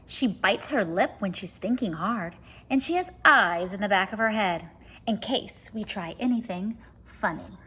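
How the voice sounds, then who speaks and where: A woman reading a children's story aloud like a teacher, expressive and speaking straight to young listeners. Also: medium pitch, unhurried, a little hiss or hum. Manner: expressive, addressing children directly.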